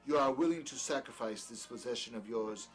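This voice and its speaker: A man playing a peculiar, condescending doctor in a drama, speaking in a formal, wordy way, smoothly charming yet scornful. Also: medium pitch, a little fast, faint music behind, close to an everyday voice, poor audio. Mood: condescending, probing. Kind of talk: dramatic dialogue in an audio play or table reading.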